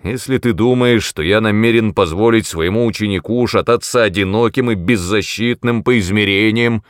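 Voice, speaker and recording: A man voicing a vain, explosive male demon mentor in an audiobook, with a gruff, barking, indignant manner. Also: low-pitched, very animated, moderate pace, no room sound, studio quality. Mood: sarcastic, irritated.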